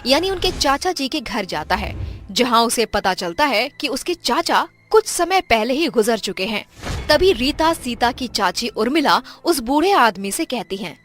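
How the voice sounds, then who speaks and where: A woman's voice: fairly high pitch, sing-song, a little slow, a bit bright. A woman narrating a scripted children's horror story.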